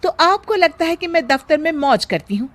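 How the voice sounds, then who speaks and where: A woman plays a young working mother and daughter-in-law from a domestic story. Her voice sits high, and she speaks with emphatic, expressive exasperation, like someone overworked and put upon. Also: normal speed. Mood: exasperated, complaining.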